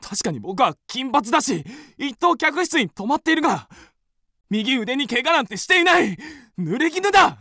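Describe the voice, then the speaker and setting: Radio drama: indignant young gentleman, wrongly accused, high swooping voice, exclaiming and stammering. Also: sing-song, normal speed, dry recording, studio quality, heavily acted.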